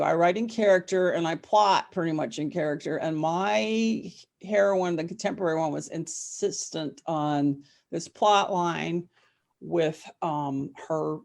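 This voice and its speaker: A middle-aged woman chatting casually as an interview guest, telling things in an animated way and often circling back over her words. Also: lowish voice, gravelly. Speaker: podcast guest, novelist and former psychologist.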